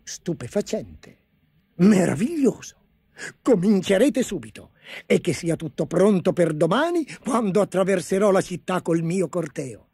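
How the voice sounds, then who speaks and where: A man voicing a vain, spoiled emperor who shouts demands petulantly and gets excited easily. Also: high-pitched, slightly rough, no room sound, pitched above the performer's own voice, exaggerated voice.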